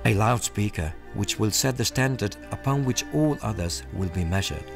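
A man reading scripted promotional documentary narration in a polished, measured manner. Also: fairly low pitch, animated, vocal fry. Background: music.

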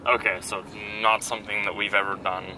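A man's voice: lowish voice, flat delivery, fast. A man reasoning out guesses with dry wit during a family car ride. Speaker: family member on a day trip. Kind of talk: casual family chat. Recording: loud noise, voice far from the mic.

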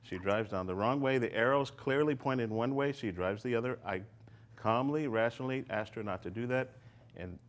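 A man defending himself in court, calm, measured and somewhat formal. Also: mid-range voice. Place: TV courtroom set.

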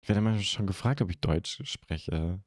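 A young man from Germany hosting a live stream, chatting casually and haltingly as he answers viewer comments into a close microphone. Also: sits low, a little mellow, dry recording. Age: young adult. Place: indoor streaming room at home. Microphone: close microphone on a stand.

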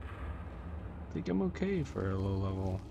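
A man giving casual commentary on his own gameplay, muttering and thinking aloud with halting delivery and mild folksy exclamations. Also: low-pitched, sing-song, vocal fry, muffled recording, background noise. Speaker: gamer narrating own playthrough. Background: video game music.

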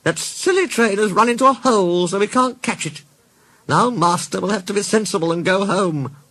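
A man's voice: sits high, normal speed, fairly bright tone, pitched above the performer's own voice. A man voicing a grumpy old female motor car in a children's storybook, complaining and wailing in a querulous way. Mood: gleeful, huffy.

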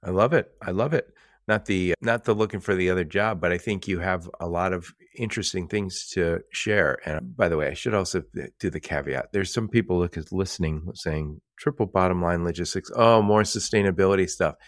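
A man's voice: low voice, no room sound, studio quality. A man hosting a podcast, reading an upbeat intro and then interviewing with enthusiasm. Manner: warm enthusiastic delivery, slow emphatic intro. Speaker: podcast host.

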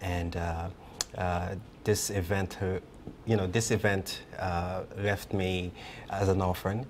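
Young man, very low voice, earnest and halting, tv studio, faint hum behind. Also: little pitch movement. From Rwanda. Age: 20s. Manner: earnest, halting with many fillers. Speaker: guest. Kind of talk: TV studio interview. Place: TV news studio.

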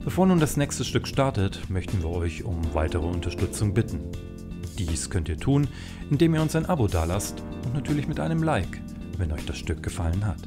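A man's voice: sits low, very animated, moderate pace. A man reading a scripted channel announcement as an announcer, with guitar music behind him.

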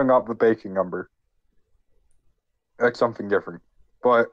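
A man podcast co-host who is sick, explaining history informally in a halting, jokey way. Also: lowish voice, dry recording, muffled recording. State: sick with a cold.

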